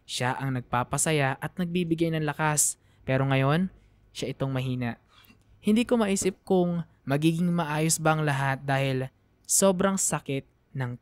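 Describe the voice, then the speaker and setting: An actress playing a saddened student narrating a letter in a Filipino radio drama, reflective and sorrowful, with a Filipino accent. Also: female voice, low voice, no echo, close to an everyday voice. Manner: sorrowful, reflective, trailing off softly.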